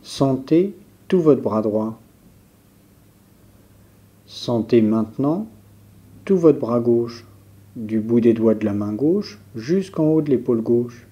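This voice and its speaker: Very dark-voiced man guiding slow relaxation. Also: mid-range voice, lo-fi sound. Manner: calm, measured instructions with pauses. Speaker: yoga nidra teacher. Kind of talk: guided relaxation meditation.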